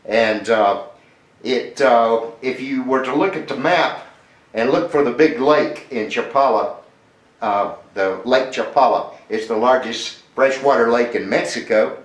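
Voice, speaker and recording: A man's voice: medium pitch, unhurried. A man chatting as an amateur radio operator, repeating details and spelling call signs phonetically. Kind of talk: conversational ham radio contact. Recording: roomy, echoing ham radio audio.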